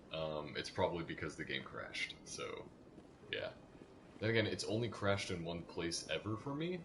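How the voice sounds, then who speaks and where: A man's voice: deep voice, noisy background. A man doing casual live commentary as he streams a video game. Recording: game music behind the voice. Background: video game music.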